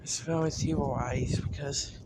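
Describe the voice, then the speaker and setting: A man giving an unscripted vlog-style talk as a video creator, haltingly, often repeating and restarting his phrases. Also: medium pitch, steady tone, heavy background noise. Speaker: video creator announcing a decision to fans.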